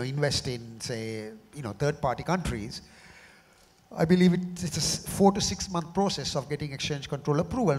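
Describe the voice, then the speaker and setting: Hoarse Sri panelist, middle-aged man, measured and halting, long hall reverb. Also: on the high side, a little mellow. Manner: measured, often repeats words as he restarts. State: slightly hoarse from a cough. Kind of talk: panel discussion. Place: large conference hall.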